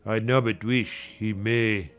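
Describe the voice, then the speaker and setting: A man's voice: fairly low pitch, steady tone, a bit bright, soft background music. A man playing a pious, grumpy old servant with a Yorkshire accent, scolding sanctimoniously in a dramatized audiobook. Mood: scornful scolding. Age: older. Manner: scolding, sanctimonious, slow and drawn-out.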